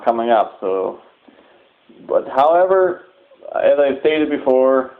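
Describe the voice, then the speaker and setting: A man delivering a formal, deliberate public announcement as the leader of an organization. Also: medium pitch. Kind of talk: public address, semi-scripted announcement. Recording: narrow, phone-like sound.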